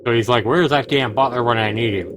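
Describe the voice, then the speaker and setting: A man's voice: medium pitch, fast talker. A man livestreaming, reading game text aloud like a dramatic storyteller, with casual asides. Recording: clear background noise on stream.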